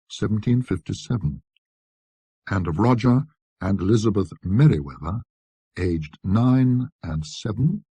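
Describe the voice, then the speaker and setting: A man narrating a classic ghost story in a precise, scholarly, measured manner. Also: on the low side, warm tone, husky, no echo.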